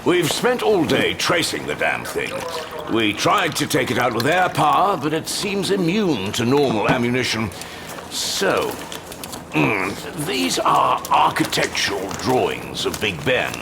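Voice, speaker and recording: Gravelly, pompous older British chief. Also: male voice, mid-range voice, wildly swooping pitch, measured pace, background noise, full character voice. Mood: genteel, wry. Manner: pompous, droll understatement. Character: pompous, unflappable older male intelligence chief. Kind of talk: audio drama acting.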